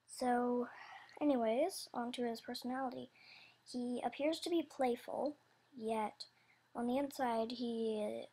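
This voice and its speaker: A woman's voice: fairly high pitch, gravelly, a little mellow, slightly rough. A woman giving a casual, loosely scripted announcement about animation characters in a hesitant, rambling, apologetic way. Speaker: animator describing characters for voice auditions.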